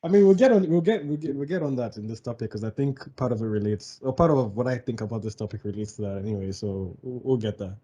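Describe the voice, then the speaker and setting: A man's voice: medium pitch, animated, fast, few pauses, warm tone, husky. A young man from Nigeria co-hosting a podcast, talking bluntly and at length in casual discussion. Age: young adult. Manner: blunt, explains at length with restarts. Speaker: podcast co-host.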